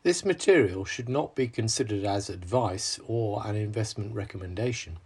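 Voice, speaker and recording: A man reading a scripted legal disclaimer in an even, formal way. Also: fairly low pitch, moderate pace, a little hiss or hum. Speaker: disclaimer reader. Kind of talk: scripted read.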